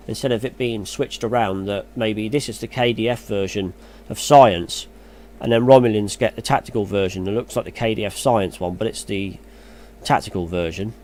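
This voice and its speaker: A man's voice: medium pitch, a little mellow. Chatty man reading game news. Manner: muses aloud, hesitant and chatty when commenting. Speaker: gaming YouTuber. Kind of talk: reading game news aloud, then casual commentary. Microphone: voice-over on a screen recording.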